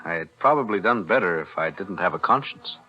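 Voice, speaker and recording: Smooth-talking menacing lawyer, lowish voice, old radio thriller, dull recording. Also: male voice, animated. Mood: composed. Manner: measured, articulate, insinuating. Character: shrewd, composed male defense attorney with menace. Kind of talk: 1940s radio thriller acting.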